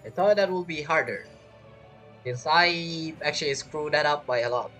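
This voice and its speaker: A man's voice: fairly high pitch, moderate pace, pushed and bright. A man giving casual live gameplay commentary, talking as a gaming streamer. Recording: game music behind the voice. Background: video game music.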